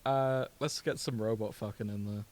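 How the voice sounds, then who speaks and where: A man's voice: lowish voice, fairly even intonation, normal speed, a little mellow, no echo. A British man chatting casually as a podcast co-host, with a jokey, bantering manner. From Britain. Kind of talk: casual comedic chat.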